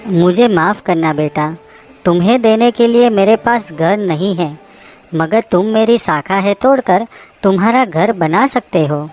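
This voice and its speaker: A woman voicing a gentle, self-sacrificing mango tree in a children's cartoon story, affectionate and apologetic. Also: medium pitch, expressive, measured pace, faint music behind, natural voice. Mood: apologetic, giving.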